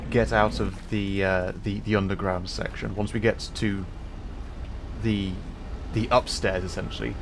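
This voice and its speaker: A man hosting a casual game livestream, talking in halting, hesitant phrases with pauses. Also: lowish voice, flat delivery, vocal fry, noisy background. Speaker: livestream host.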